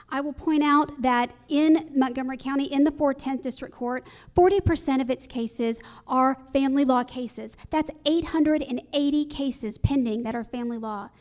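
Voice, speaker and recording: Woman running for office, pretty high voice, earnest, arguing her points at a forum. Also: a little mellow. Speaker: judicial candidate at a forum. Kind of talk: prepared political forum answers.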